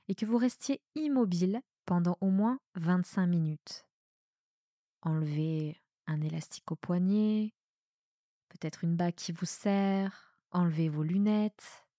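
A woman with a soft voice guiding a relaxation meditation in a calm, soothing manner with measured pauses. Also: lowish voice, no room sound, clean studio sound. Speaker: yoga nidra guide. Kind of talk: guided meditation, relaxation instruction.

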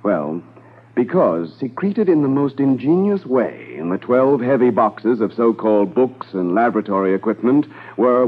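An actor as the narrator of a 1950s radio crime drama, measured and portentous in delivery. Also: male voice, medium pitch. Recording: muffled 1950s radio recording.

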